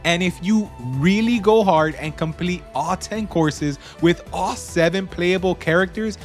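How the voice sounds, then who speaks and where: Male gaming host, slightly high voice, casual and slangy, dry wit, faint music bed underneath. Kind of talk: casual game review voice-over, part scripted, part off the cuff.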